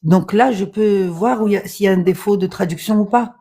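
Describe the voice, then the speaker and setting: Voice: medium pitch, brisk, creaky, dark tone. A guest on a live stream patiently explains a point, with many fillers and repeated words. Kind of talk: casual debate on a live stream.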